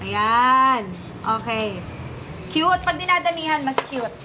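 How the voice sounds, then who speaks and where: A woman's voice: high-pitched, lively intonation, takes it slowly, background noise. A bubbly, chatty woman with a Filipino accent hosting a live morning show segment on location. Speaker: morning show field host. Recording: clear music behind the voice.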